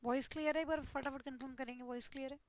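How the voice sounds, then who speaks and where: A woman's voice: high voice, steady tone, very fast. A woman teaches an online English grammar class, explaining step by step and checking in with "ठीक है". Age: 20s.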